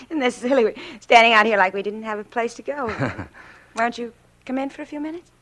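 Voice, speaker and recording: Bright, animated, coy flirt. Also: female voice, on the high side, a little fast. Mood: inviting, playful. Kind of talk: old-time radio drama acting.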